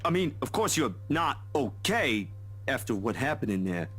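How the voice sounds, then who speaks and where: A man's voice: medium pitch, very animated, a bit bright, breathy edge, faint music behind. A man doing an awkward, stammering big guy. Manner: halting, stammering speech. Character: broad-built awkward young man, shy.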